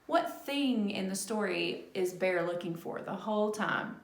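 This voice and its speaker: A woman's voice: mid-range voice, expressive, a little slow. A woman talking children through a story like a lesson, with many rhetorical and tag questions. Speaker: speech-language pathologist reading to children. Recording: echoey room.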